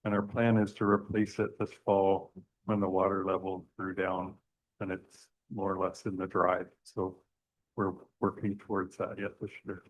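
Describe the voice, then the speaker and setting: A man's voice: sits low, flat delivery, moderate pace. A man from township staff giving a matter-of-fact technical update to council. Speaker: public works staff. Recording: tinny lo-fi meeting audio.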